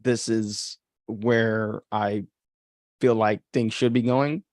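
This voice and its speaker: A man's voice: fairly low pitch, steady tone, takes it slowly, a little mellow, no echo, clean studio sound. A man chatting informally as a podcast host, rambling through his commentary with frequent filler words and some mild swearing. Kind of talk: casual chat, commentary.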